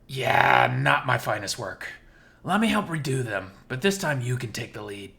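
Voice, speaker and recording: A man's voice: mid-range voice, expressive, moderate pace, creaky, pushed and bright. A man voicing an upbeat, innocent and awkward young male bat in a visual novel, hesitant and earnest in manner. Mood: sheepish.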